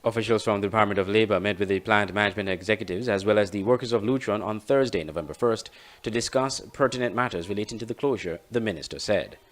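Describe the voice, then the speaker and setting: A man's voice: on the low side, fast talker. A man reading scripted news narration as a broadcast anchor. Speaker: news anchor and advertisement announcer.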